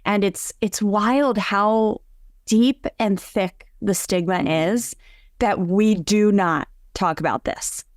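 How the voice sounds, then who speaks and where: A woman's voice: mid-range voice, takes it slowly, no echo, clean studio sound. A woman from Southern California hosting a conversation, effusive and chatty, with plenty of fillers. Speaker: podcast host. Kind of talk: casual interview chat.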